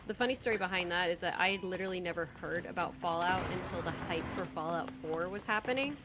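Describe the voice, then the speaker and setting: A woman's voice: fairly low pitch, fast. A woman chatting casually as a co-commentator on a live game stream. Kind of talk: casual chat. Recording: noisy stream voice audio.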